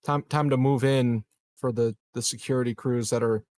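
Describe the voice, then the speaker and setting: A man playing a tabletop roleplaying game, chatting casually and thinking aloud in a halting way. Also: medium pitch, steady tone, darkish tone.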